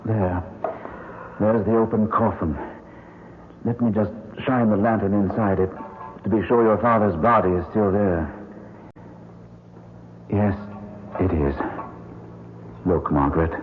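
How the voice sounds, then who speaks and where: A man playing a proper, steady solicitor in an old-time radio mystery, speaking formally and with measured firmness. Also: on the low side, close to an everyday voice. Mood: grave, steady. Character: proper, steady English solicitor, male, dutiful and firm. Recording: noisy narrowband old radio broadcast.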